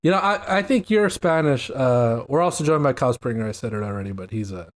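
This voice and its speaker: A man's voice: mid-range voice, animated, fast. Rambling Miami-accented man hosting. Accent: Miami English. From Miami, USA. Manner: rambling and jokey, repeats "you know what I mean". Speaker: podcast host. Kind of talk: casual chat.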